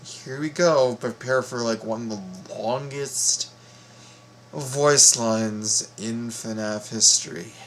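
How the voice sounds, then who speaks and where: A man giving casual reaction commentary as a video host, halting, informal and repeating himself. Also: mid-range voice, fairly bright tone, a little hiss or hum.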